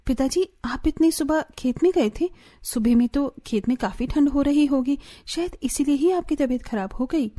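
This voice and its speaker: Young village daughter-in-law played by a woman, high mellow voice, earnest, anxious, caring, family cartoon. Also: normal speed, lightly acted. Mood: concerned. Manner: earnest, concerned.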